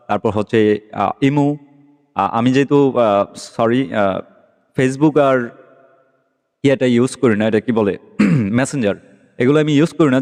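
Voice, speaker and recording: A man's voice: mid-range voice, darkish tone. A man hosting a casual tech tutorial, explaining an app step by step and often checking that listeners follow. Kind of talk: casual screen-recorded app walkthrough. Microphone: phone microphone during screen recording. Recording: long echoing reverb.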